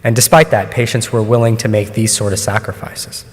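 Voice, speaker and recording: Doctor lecturing in an echoing hall. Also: male voice, on the low side, fairly even intonation. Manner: measured, explanatory, with frequent ums and restarts. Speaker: oncologist giving a talk. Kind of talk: conference presentation. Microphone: microphone at a lectern. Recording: long hall reverb.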